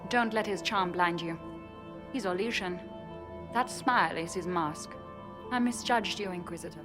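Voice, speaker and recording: Shrewd female elf diplomat played by a woman for a fantasy game: lowish pitch, wry and persuasive, music behind. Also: normal speed, lightly acted. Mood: serious, persuasive. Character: shrewd, wry female elven ambassador and spymaster. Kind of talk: dramatic video game dialogue.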